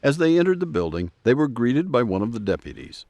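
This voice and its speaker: A man's voice: medium pitch, sing-song, brisk, darkish tone, no room sound, muffled recording. A man narrating an audio drama in a scripted storytelling style.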